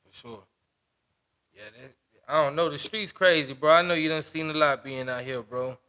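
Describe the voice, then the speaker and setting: A man's voice: on the high side, moderate pace, sharp tone, clean tone. A man in his twenties hosting a podcast, talking loosely in street slang and often asking "you know what I'm saying". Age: 20s. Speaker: podcast host. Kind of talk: casual interview.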